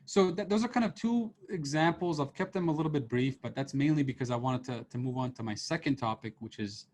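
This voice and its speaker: A man's voice: medium pitch, fairly quick, darkish tone. A man in his thirties from Serbia, giving a casual lecture to students over a video call with his camera off, full of fillers and restarts. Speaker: professor giving a seminar. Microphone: computer mic on a video call, camera off.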